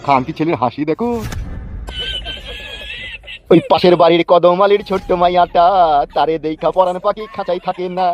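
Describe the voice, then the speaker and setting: A man voicing a bullying, mocking, foul-mouthed gang boss in an animated comedy. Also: on the high side, lightly acted. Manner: mocking, threatening, swaggering. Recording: dull lo-fi track, faint music.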